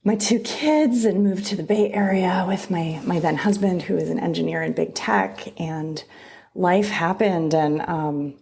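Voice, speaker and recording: Brief: middle-aged woman, lowish voice with vocal fry, conversational guest, restarts often. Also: measured pace. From Southern California. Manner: warm storytelling with many restarts. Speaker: immigration attorney guest. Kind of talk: conversational interview.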